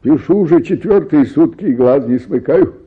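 A man's voice: fairly high pitch, lively intonation, normal speed, slightly rough, narrow, tinny audio. An actor playing an exhausted, ailing and irritable bank official in a theatrical radio play, groaning and sighing as he talks. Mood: aggrieved self-pity.

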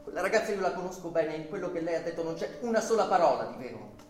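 A man's voice: a little high, very animated, fast. An actor playing an agitated, over-polite male bank employee in an emphatic, repetitive Italian radio theatre style. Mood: indignant. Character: agitated male bank employee lodger, courteous then explosively indignant. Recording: roomy, distant from mic, music behind.

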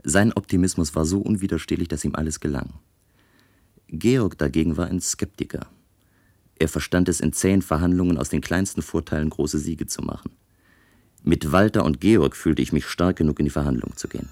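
A stubborn dreamy inventor in a german radio play, super low voice, measured and reflective. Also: male voice, a little mellow. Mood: reflective, wistful. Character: male inventor, wistful, stubborn, recounting his bold project. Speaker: narrator and lead of a radio play.

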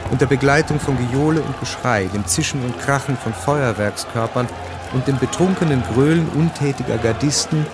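A man's voice: mid-range voice, normal speed, music in the background. A man narrating a literary radio drama from a script, precise and matter-of-fact.

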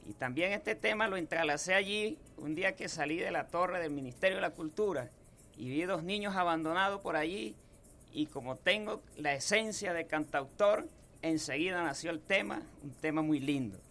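A man's voice: fairly high pitch, normal speed, fairly bright tone, faint music behind. A man in his thirties from Apure, Venezuela, with a llanero accent, telling homespun stories as a guest in a radio studio booth. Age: 30s. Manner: folksy, homespun. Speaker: guest singer-songwriter. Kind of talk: relaxed storytelling interview.

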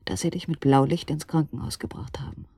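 An anguished wife keeping vigil through the night in a radio drama. Her voice is very breathy and husky, often sinking toward a whisper, and dark in tone. She speaks haltingly and intimately, close and dry. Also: deep voice, dry recording. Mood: weary, brooding. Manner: halting, brooding, addressing someone who cannot hear.

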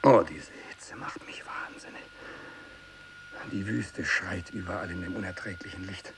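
An actor playing a fanatical, tormented captive missionary turned hateful zealot, in an intense German radio drama monologue from the 1960s. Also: male voice, sits low, a little slow, whispered. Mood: defiant, exhausted. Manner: intense, swinging between hushed muttering and loud declamation. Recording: hissy 1960s radio production.

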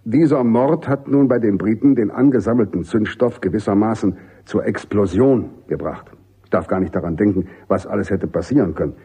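An actor playing a middle-aged American army general in a German radio drama, jovial, authoritative and emphatic. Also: male voice, lowish voice, a little fast, dark tone, slightly rough. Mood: firm, insistent. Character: commanding American army general, genial but insistent.

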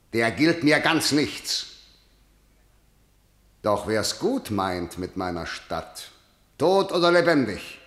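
A man's voice: mid-range voice, fairly bright tone. An actor playing a harsh male tyrant in a classical verse radio play, declaiming commands and threats. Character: harsh, stern male tyrant ruling a city. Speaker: ruler of the city. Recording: roomy hall reverb.

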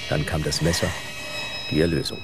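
A man narrating an audio drama in a measured, suspenseful, literary style. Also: extremely low pitch, level tone, gravelly, noisy background.

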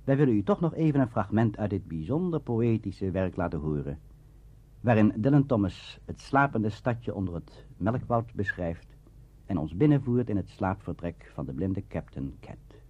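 A man with a low, husky voice narrates a scripted lecture as a radio presenter. His delivery is formal and declamatory, with wide swings of pitch. The recording is dull and muffled. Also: sing-song, moderate pace, darkish tone. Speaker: presenter of a radio history programme.

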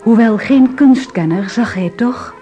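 A woman's voice: a little high, measured pace, dark tone, faint music behind, natural voice. A woman playing a formal newspaper report reader in a radio drama. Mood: formal, intrigued. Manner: formal reading.

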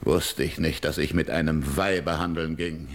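Slow-spoken, proud barbarian king. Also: extremely low pitch, steady tone. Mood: wounded, sardonic. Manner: commanding, weighty, deliberate declamation. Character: proud, stern barbarian king, wooing and bitterly offended. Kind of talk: classical verse radio drama acting.

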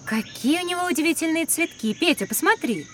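High-pitched, wide-eyed little schoolgirl. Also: lively intonation, a little fast, noisy background. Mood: admiring. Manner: exclamatory, wondering, questioning. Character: wide-eyed, curious, sweet young schoolgirl. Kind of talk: children's educational audio drama acting.

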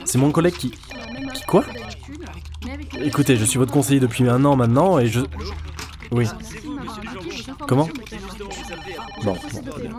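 A man's voice: mid-range voice, expressive, unhurried, pauses often, over music, close to an everyday voice. A man playing a disillusioned but principled insurance adviser and gamer, world-weary and plain-spoken, in an audio drama. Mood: exasperated. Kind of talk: scripted audio-drama acting and narration.

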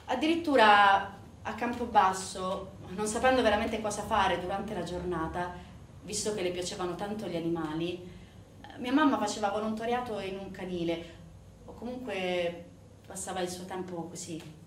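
A woman's voice: medium pitch, normal speed. A woman performing a dramatic theatrical monologue as a stage actress, narrating with intense, emphatic delivery that swells and drops. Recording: roomy, distant microphone, faint noise.

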